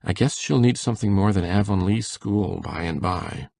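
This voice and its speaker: A man playing a gentle, proud older farmer with a gravelly voice and plain folksy phrasing in an audio drama. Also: deep voice, moderate pace, dry recording, studio quality. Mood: quietly proud.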